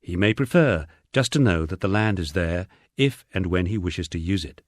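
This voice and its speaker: A middle-aged British man reading a scripted first-person memoir as an audiobook, in a measured storytelling way with dry understatement. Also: deep voice, no room sound. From United Kingdom. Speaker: audiobook narrator.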